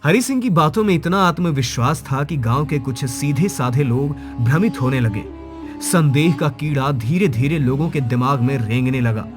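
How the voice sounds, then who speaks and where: A man's voice: a little high, expressive, normal speed, music in the background. A man reading a scripted moral story as its narrator, in a dramatic storytelling style.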